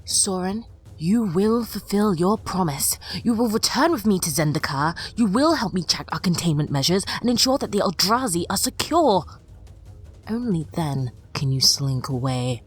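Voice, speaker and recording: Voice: mid-range voice, lively intonation, normal speed, breathy edge, soft background music, pitched above the performer's own voice. A narrator voicing an imperious, vengeful ancient female stone mage who speaks in formal, commanding, coldly demanding words. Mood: stern, commanding. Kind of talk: dramatic audio story dialogue.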